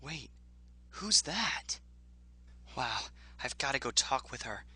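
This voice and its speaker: A man's voice: mid-range voice, big pitch swings, takes it slowly, sharp tone, breathy edge, no room sound, exaggerated voice. A man voicing a naive, awkward young mouse hero who is eager and lovestruck, in a parody cartoon dub.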